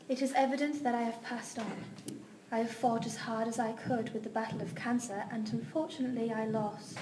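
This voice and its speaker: A woman's voice: fairly high pitch, mellow, close to an everyday voice. A woman playing a loving mother solemnly reading her farewell letter to her sons. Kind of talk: slow, solemn scripted reading. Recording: echoey, distant room with noise.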